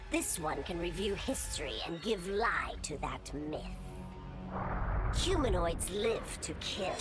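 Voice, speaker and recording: Low, imperious insectoid creature. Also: female voice. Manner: formal, deliberate, imperious. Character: proud, resentful female insectoid creature, cold toward humanoids. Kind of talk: video game character monologue. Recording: loud music behind the voice.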